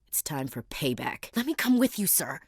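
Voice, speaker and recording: A woman's voice: deep voice, sing-song, moderate pace, slightly rough, no echo, studio quality, exaggerated voice. An actress playing a tough, blunt young female marine in a video game, speaking in a direct, clipped soldierly manner. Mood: urgent. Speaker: game character.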